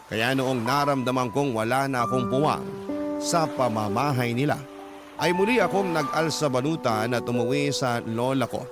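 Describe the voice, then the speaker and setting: A man's voice: mid-range voice, normal speed, natural voice. A man with a Filipino accent reading scripted first-person narration for a radio drama. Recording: loud music bed under narration.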